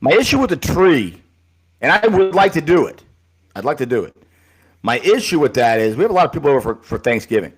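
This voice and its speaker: A man co-hosting a live high school football podcast, chatting casually and calling his co-host buddy. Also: sing-song, brisk, husky, rough recording. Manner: easygoing, chummy, calls co-host buddy.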